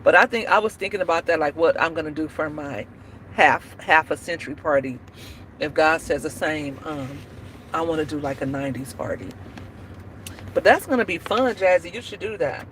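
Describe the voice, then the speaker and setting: A woman's voice: sits low, normal speed, a bit bright, slight background noise, low-quality recording. A woman in her forties chatting casually on a livestream, laughing often and talking to viewers by name. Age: 40s. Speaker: livestream host. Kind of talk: casual live chat.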